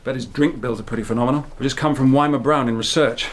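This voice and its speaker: An actor playing a weary, blunt investigator in a radio drama, wry and plain-spoken. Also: male voice, medium pitch, steady tone, fast, slight background noise, natural voice. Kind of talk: naturalistic radio drama acting.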